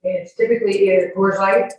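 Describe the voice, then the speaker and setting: A woman's voice: mid-range voice, fairly even intonation, brisk, darkish tone. Echoey, distant woman testifying defensively. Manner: emphatic, defensive denials. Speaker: witness testifying. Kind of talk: spontaneous courtroom testimony. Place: courtroom. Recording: very echoey, distant, noisy courtroom audio.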